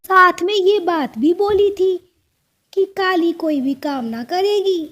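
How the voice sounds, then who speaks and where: A woman voicing a haughty, sharp-tongued young crow wife in a cartoon, bossy and scornful. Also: extremely high pitch, slow pace, heavily acted. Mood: scornful bragging. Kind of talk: cartoon story dialogue.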